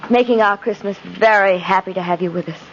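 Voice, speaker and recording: An actress playing a gentle, earnest mother, tender and reassuring, in 1950s radio style. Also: medium pitch, fast, close to an everyday voice. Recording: muffled, hissy old radio broadcast.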